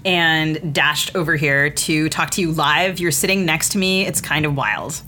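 A woman's voice: deep voice, a little slow, sharp tone, a little hiss or hum. A woman chatting casually as co-host of a podcast. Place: hotel room.

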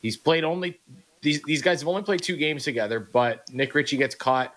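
A man's voice: on the high side, steady tone, fairly quick, fairly bright tone. A man hosting sports radio, asking a guest long and detailed questions about hockey.

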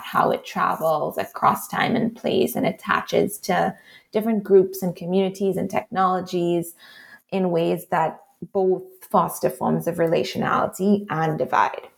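Trinidadian woman author, gravelly lowish voice, thoughtful interview answers. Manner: thoughtful, measured, frequent "right?" and "um". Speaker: author and researcher, interview guest.

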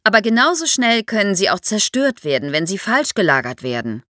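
A woman's voice: mid-range voice, big pitch swings, a little fast, pushed and bright, no echo, studio quality. A woman explains history to young listeners as an expert guest, in a didactic way, posing questions as she goes.

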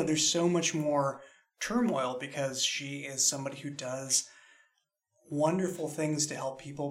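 Higher-voiced man, thoughtful creator talk. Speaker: audio drama creator. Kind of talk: reflective discussion of own writing.

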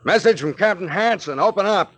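An actor playing a tough, commanding sea captain with blunt slangy talk in a 1940s radio drama. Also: male voice, high-pitched, expressive, fairly quick, heavy background noise. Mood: urgent bluffing. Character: tough, brusque male sea captain adventurer. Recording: dry vintage 1940s radio recording.

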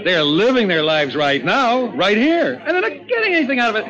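An actor playing an exuberant, stubborn young soldier who clowns and declaims theatrically in a 1940s radio drama. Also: male voice, high voice, big pitch swings, fairly quick. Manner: theatrical, declaiming to crowds like a showman. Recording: muffled 1940s radio broadcast, crowd behind.

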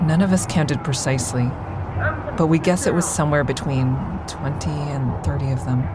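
An actress playing a traumatized woman survivor in an audio drama, recounting her ordeal in a measured, deliberate way. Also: low-pitched, fairly even intonation, background noise, natural voice. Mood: somber, haunted. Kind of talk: full-cast audio drama monologue.

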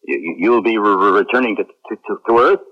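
A man's voice: lowish voice, brisk, close to an everyday voice. An actor as a deferential clone crewman, muffled recording. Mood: confused, faltering. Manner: deferential, formal. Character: obedient, curious male clone crewman in space. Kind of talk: late-1970s radio drama acting. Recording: muffled 1970s radio drama recording, faint noise.

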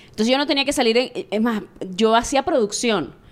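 A Venezuelan woman in her late thirties, a sports journalist telling stories candidly in a relaxed interview. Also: on the high side. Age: 30s. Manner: chatty and candid, rapid storytelling. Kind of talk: casual interview chat.

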